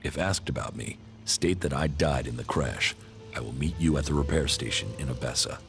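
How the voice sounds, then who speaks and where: An actor playing a gruff, cold military commander in a video game, terse and dryly sardonic, with a gravelly voice. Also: male voice, rumbling low, normal speed, over music. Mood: guarded, commanding. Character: gruff, cold male alien-faction commander, curt and weary.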